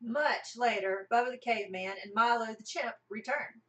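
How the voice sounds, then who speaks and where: A woman's voice: fairly high pitch, normal speed. A woman narrating a scripted comic radio play as part of an amateur cast. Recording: roomy, mic at a distance.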